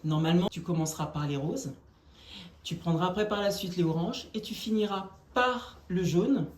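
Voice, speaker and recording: An upbeat young woman with a slightly low voice gives instructions as an interviewer. The room is echoey, and faint clicks can be heard. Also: moderate pace, darkish tone, a little hiss or hum. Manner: upbeat, encouraging. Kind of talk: giving instructions.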